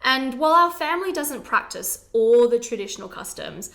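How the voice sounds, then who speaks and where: A woman's voice: on the high side, big pitch swings, moderate pace, creaky, fairly bright tone. A young woman from China co-hosting a casual chat, playful and wry as she explains family customs. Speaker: podcast co-host.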